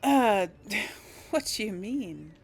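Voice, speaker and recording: Casting: female game streamer, unhurried and hesitant, chatting casually as she plays, faint background noise. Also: mid-range voice, expressive, vocal fry, a little mellow. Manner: hesitant, thinking aloud. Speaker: video game streamer role-playing for an audience. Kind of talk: casual game playthrough commentary.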